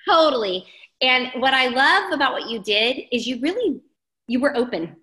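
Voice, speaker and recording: Bright, emphatic woman coach. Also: sits high, sing-song, normal speed, echoey room. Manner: emphatic, affirming, repeats key phrases. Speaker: team coach leading a training call. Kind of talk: encouraging group training talk.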